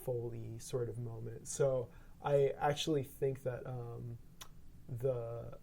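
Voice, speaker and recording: A man's voice: mid-range voice, flat delivery, slow, vocal fry, warm tone. A man guest on a podcast, speaking in a hesitant, thoughtful way with many fillers. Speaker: sound designer guest. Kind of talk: casual podcast chat.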